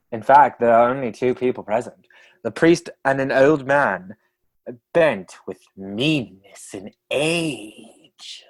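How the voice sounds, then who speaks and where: A narrator of a comic radio play, telling the story with a dry, wry manner. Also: medium pitch.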